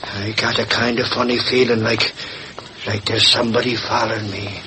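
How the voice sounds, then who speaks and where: A man's voice: fairly low pitch, level tone, normal speed, close to an everyday voice. An actor playing a blustering, bumbling ex-policeman turned reporter in a 1940s radio drama, with folksy, slangy speech. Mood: uneasy. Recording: very noisy old radio transcription.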